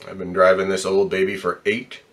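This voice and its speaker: A man playing a bitter, streetwise, wisecracking ambulance driver in old-time radio style, slangy and gruff. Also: deep voice, monotone, fairly quick, a bit bright. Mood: wry, jaded.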